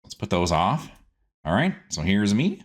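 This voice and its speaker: A man's voice: lowish voice, very animated, measured pace. A man hosting a casual gaming video, chatting to himself playfully as he plays, with silly words and sing-song asides. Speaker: gaming host. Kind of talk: casual game commentary, let's play.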